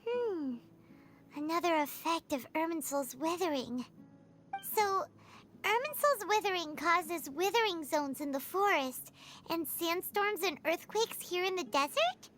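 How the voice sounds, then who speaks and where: A woman's voice: very high-pitched, expressive, measured pace, fairly bright tone, heavily acted. A woman voicing a tiny, childlike fairy companion in a video game, inquisitive and full of exclamations. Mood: curious. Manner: exclamatory, questioning, wide-eyed. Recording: music behind the voice.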